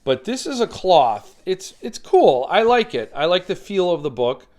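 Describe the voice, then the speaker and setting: A man with a somewhat high voice hosts a casual unboxing. He talks conversationally and gives his opinions plainly as he explains the details of what he is showing. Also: very animated, a little slow, darkish tone. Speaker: book collector reviewer, channel host.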